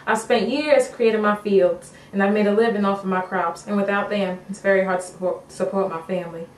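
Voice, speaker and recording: A woman's voice: medium pitch, fairly even intonation, fairly quick, close to an everyday voice. A woman playing a weary, despairing farmer in an amateur mock news interview. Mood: weary, hopeless. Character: despairing Dust Bowl farmer Billy, weary and worn down. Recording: echoey room, distant mic, background noise.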